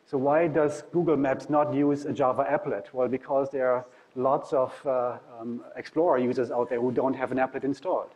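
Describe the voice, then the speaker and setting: Male academic presenter, German accent, medium pitch, informal lecture delivery. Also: steady tone, moderate pace, few pauses, darkish tone, rough recording. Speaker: university professor, guest speaker. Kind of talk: informal tech talk presentation.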